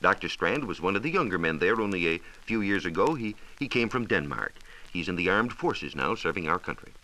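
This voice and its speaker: An American man explaining science in a measured, precise, didactic way, in a scripted 1940s radio style. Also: sits low, big pitch swings, sharp tone, low-quality recording. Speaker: science reporter explaining astronomy. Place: radio studio. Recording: hissy 1940s radio transcription disc.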